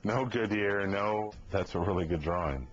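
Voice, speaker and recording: A man's voice: fairly low pitch, moderate pace, highs cut off. A man giving matter-of-fact testimony as an eyewitness in an interview. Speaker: airline mechanic, eyewitness.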